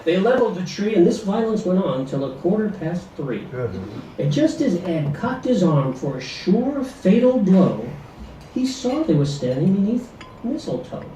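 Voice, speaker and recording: A man's voice: high voice, big pitch swings, moderate pace, a crowd in the background. A man reciting a comic rhyming poem theatrically, with a dramatic delivery for an audience. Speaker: reader performing a comic poem. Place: club performance room. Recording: echoey, noisy stage recording from far off.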